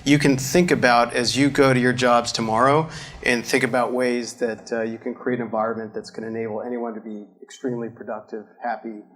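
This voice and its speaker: A man's voice: medium pitch, flat delivery, brisk, a bit bright. A man moderating an onstage fireside chat, upbeat and chatty, with a lot of "um" and "uh". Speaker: interviewer, moderator. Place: event stage in front of an audience.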